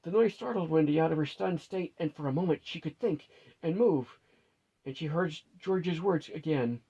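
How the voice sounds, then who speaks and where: A man narrates a fantasy story in a higher-set voice with a gravelly, husky grain. The tone is mellow and the reading is scripted. The recording sounds dull and lo-fi. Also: moderate pace.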